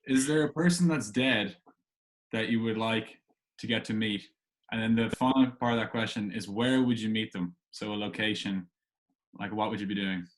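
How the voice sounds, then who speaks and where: A man's voice: on the low side, steady tone, moderate pace, poor audio. A man hosting a casual interview, asking questions hesitantly and rephrasing them as he goes. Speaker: podcast host, interviewer.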